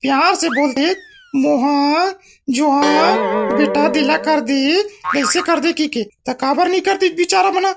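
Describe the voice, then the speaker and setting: A woman's voice: high voice, takes it slowly, gravelly, faint music behind, exaggerated voice. A woman playing a coaxing, complaining village mother in a cartoon comedy, plaintive and bickering, with a Chhattisgarhi accent. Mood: defensive.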